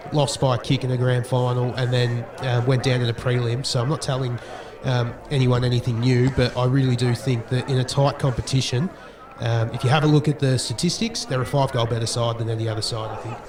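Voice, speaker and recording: A man with an Australian accent doing sports co-commentary, his voice croaky from a cold, hesitant with many ums and restarts. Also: mid-range voice, recorded from a distance. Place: outdoor football ground. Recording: roomy outdoor commentary with crowd.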